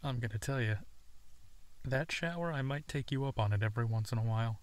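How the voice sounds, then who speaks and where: A man playing a gentle, awkward, self-deprecating werewolf friend in an intimate ASMR audio drama, speaking softly and haltingly. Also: mid-range voice, close to an everyday voice. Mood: grateful, relaxed. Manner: hesitant, soft-spoken, halting, often slipping into whispers.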